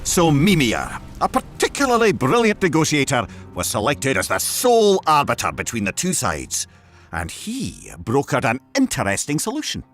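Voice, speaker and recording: A man's voice: mid-range voice, wildly swooping pitch, moderate pace, a bit bright. A man narrating a scripted mythological tale in a theatrical, emphatic, dramatic storytelling style. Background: background music.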